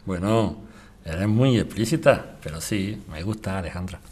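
Guy playing lovestruck married man in radio drama, lowish voice, earnest, kinda apologetic. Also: unhurried, a little mellow. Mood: earnest, smitten. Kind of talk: amateur radio drama acting.

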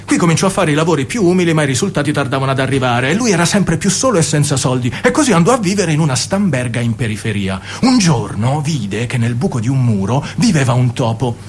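An actor playing a smooth-talking young man, a coaxing charmer in a radio play. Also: mid-range voice, lively intonation, fast, hardly any pauses, slight background noise, close to an everyday voice. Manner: coaxing, wheedling, with sudden laughs.

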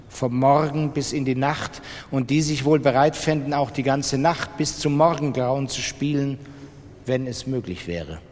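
A man's voice: medium pitch, darkish tone. A man with a standard German accent reading literary prose as a dramatic radio narration. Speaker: literary reader in a radio production. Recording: studio reading with long reverb.

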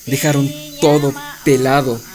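A woman playing an anguished Amazonian villager, telling her story gravely and with grievance in a radio drama. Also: rumbling low, little pitch movement, unhurried, crowd noise.

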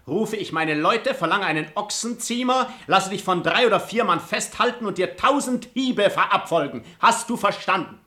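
A man's voice: sits high, animated, moderate pace, hardly any pauses, sharp tone. An actor playing an arrogant, commanding, slyly charming nobleman in a theatrical radio comedy. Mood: threatening. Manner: commanding, theatrical, crisply declaimed. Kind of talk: classic comedy radio play acting.

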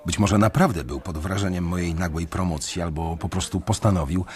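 A man's voice: deep voice, fairly quick. A man narrating a radio drama in the first person, tense and brooding. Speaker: narrator and lead character.

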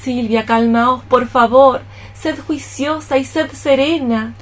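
An actress playing a scolding, scheming old mother cockroach in a theatrical, exclamatory radio play style. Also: high-pitched. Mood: soothing. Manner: exclamatory, theatrical, drawn-out phrases. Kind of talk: radio theatre acting in archaic poetic Spanish.

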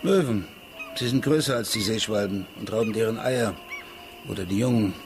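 A man's voice: on the low side, normal speed, noisy background, natural voice. Troubled, terse actor as a male ex-detective. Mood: subdued, matter-of-fact. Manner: terse, clipped recollection. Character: troubled, guilt-ridden male ex-detective, defensive and brooding. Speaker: client being questioned. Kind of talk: German radio crime drama acting. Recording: studio radio play with music underneath. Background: music.

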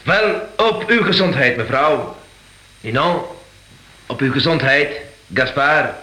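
Good-natured police sergeant, deferential, radio drama, forceful bright tone, lively pitch, echoing room. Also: male voice, slow, exaggerated voice. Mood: cheerful, official. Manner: hesitant, courteous. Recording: roomy, echoing radio play studio.

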